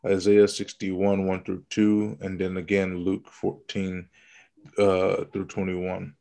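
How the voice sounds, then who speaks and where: Brief: low gravelly man, raspy and under the weather, unhurried bible-study host on a call. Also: completely flat delivery, mellow. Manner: slow, teasing, explanatory. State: raspy from illness. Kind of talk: casual Bible study chat over video call. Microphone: video call.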